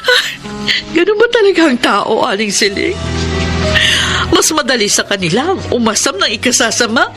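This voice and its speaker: An actress playing a hardworking single mother and market vendor in a radio drama, earnest and expressive. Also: a little high, normal speed, music in the background. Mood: hurt, indignant.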